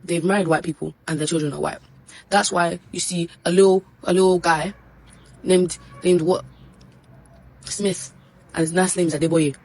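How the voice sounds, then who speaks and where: A woman's voice: fairly low pitch, slightly rough, rough recording. A woman ranting to camera in a blunt, exasperated, scolding way. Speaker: social media commentator. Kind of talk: ranting direct-to-camera video.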